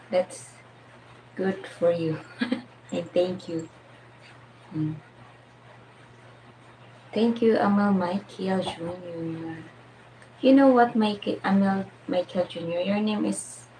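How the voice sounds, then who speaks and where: A woman's voice: medium pitch, a little mellow, slight background noise, low-quality recording. A Filipina woman with a Filipino accent hosting a casual live stream, chatting with viewers, greeting them by name and laughing often. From Philippines.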